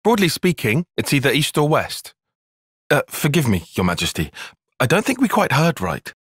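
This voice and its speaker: A man's voice: fairly high pitch, wildly swooping pitch, moderate pace, fairly bright tone, breathy edge, dry recording, clean studio sound, heavily acted. A man with a British accent voicing an earnest, cautious young soldier-strategist in a video game, speaking in a precise, formal, articulate way. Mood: measured, analytical. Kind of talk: video game cutscene voice acting.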